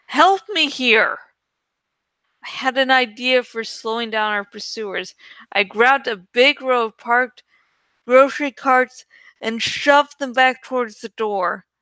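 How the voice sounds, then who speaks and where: Female audiobook reader: clear, forward tone, unhurried pacing, dry close sound, suspenseful storytelling. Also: a little high, steady tone, pushed and bright, no room sound. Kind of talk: dramatic scripted narration of a young adult novel.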